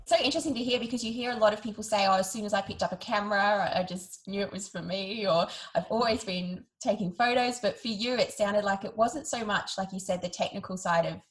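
A woman's voice: mid-range voice, quick pace, hardly any pauses, slightly rough. A woman hosting a podcast, reading a polished intro and then interviewing a guest in an upbeat way. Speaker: podcast host.

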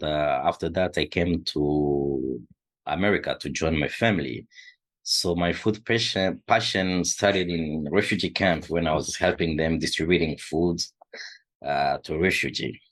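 Middle-aged Congolese man, very deep slow voice, halting interview answers, frequent fillers. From Democratic Republic of the Congo. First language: not English. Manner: hesitant, many fillers and restarts. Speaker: food shelf supervisor.

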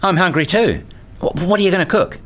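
A man's voice: sits high, brisk, fairly bright tone. A man playing a weary, hurt father who narrates his own story in a radio play. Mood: irritated, defensive. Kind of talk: first-person radio play narration and acting.

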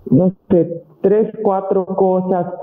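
Man, high voice, slow careful questions, echoey, phone-like sound. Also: steady tone. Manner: repeats questions slowly on request. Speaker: interviewer. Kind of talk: asking conversation questions for learners.

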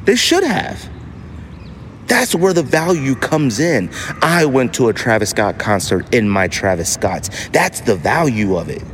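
A man with a mid-pitched voice delivers a casual podcast monologue. He is emphatic and leans on repetition, with noticeable noise around him. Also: big pitch swings, unhurried, a bit bright. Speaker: podcast host.

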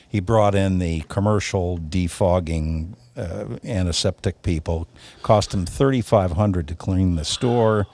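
A man co-hosting a podcast, telling stories in casual, somewhat halting conversation. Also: low-pitched, a little mellow. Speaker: radio show podcast co-host.

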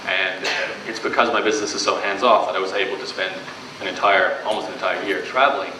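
A man's voice: sits low, level tone, quick pace. A young man with a Canadian accent, giving a casual, conversational talk to a live audience at a business event, with many fillers and restarts. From Australia. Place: event room before an audience. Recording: distant, echoey live room recording with background noise.

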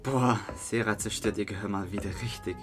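A man's voice: on the low side, fairly even intonation, fairly quick, close to an everyday voice. Man acting friendly Swiss German teenage boy, music behind. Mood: casual, encouraging. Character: friendly, slightly awkward teenage boy, girl's close friend. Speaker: actor in an audio drama. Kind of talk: youth radio play dialogue.

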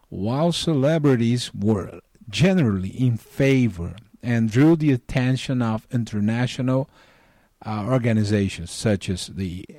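A middle-aged man from northeastern Brazil, a native Portuguese speaker with a Brazilian accent, giving prepared commentary as a caller in a deliberate, measured way. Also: mid-range voice, dark tone, dry recording. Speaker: listener calling in.

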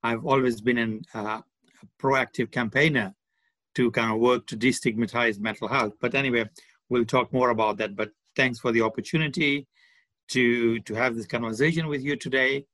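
Male interviewee, 60s, medium pitch, discursive conversational delivery, close dry recording without room echo. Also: fairly even intonation, moderate pace, a bit bright. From born in India, grew up in Malawi. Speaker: podcast guest, charity development manager. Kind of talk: conversational interview, reflective account. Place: remote online call.

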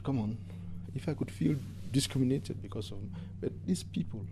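A man with a Cameroonian accent speaks in an interview in a warm, dark voice at a middle pitch. He is earnest and halting, repeating words for emphasis. Some light noise sits behind him. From English-speaking Cameroon. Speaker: environmental activist and interviewee. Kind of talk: conversational interview answers.

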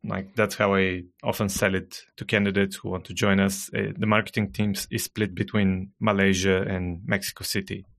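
Podcast guest, Bulgarian-accented man, gravelly low register, careful and hesitant delivery. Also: little pitch movement, a bit bright. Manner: measured, hesitant with frequent uh fillers. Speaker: guest, marketing director. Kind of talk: casual podcast conversation.

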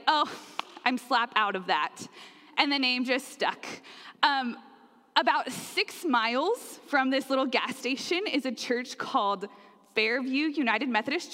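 Bright woman preaching in church. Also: fairly high pitch. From Oklahoma. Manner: friendly, conversational with frequent fillers. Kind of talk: sermon. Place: church sanctuary. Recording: long church reverb.

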